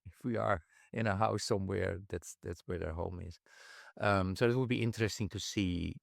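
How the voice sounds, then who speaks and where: Host: middle-aged man, very deep, gravelly, relaxed interviewer, dry close mic. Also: normal speed, no room sound. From Netherlands. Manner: easygoing, with short backchannels. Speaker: podcast host. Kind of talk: casual interview.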